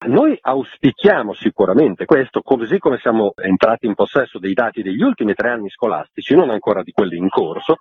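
Male interview guest, very rough husky voice with animated range, explaining in detail over a narrow telephone-like connection. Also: on the low side, brisk, few pauses, dry recording. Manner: explanatory, long detailed sentences. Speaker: interviewed spokesman of a secular association. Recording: muffled phone-like line.

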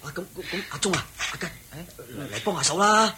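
A man's voice: high-pitched, animated, brisk, very noisy. An actor playing a thirsty, weary, anxious but willing male traveller in a radio drama, full of sighs and exclamations. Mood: anxious, eager. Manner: exclamatory, sighing. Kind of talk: Cantonese radio drama acting.

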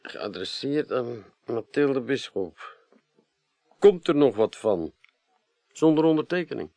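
A man's voice: mid-range voice, sing-song, slow, mellow, dry recording. An actor playing a brusque, inquisitive man in a Dutch radio drama, speaking in short, impatient phrases. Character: brusque, curious man scrutinising evidence.

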